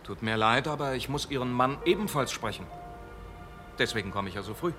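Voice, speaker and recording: An actor playing a methodical police inspector who calmly and patiently questions witnesses in a radio drama. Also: male voice, mid-range voice, brisk, over music, close to an everyday voice.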